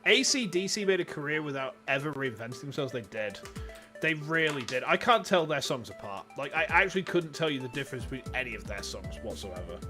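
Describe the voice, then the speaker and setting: A man's voice: mid-range voice, very animated, a little fast. A man with a Yorkshire accent chatting casually and jokily while streaming a game. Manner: rambling, jokey storyteller. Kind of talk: casual gaming chat. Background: game music.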